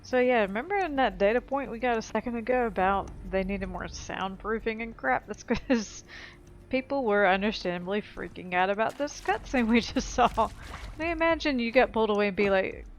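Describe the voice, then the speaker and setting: A woman's voice: a little high, lively intonation, a little fast. A woman gives casual gameplay commentary in an informal, wry way. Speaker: gaming video creator.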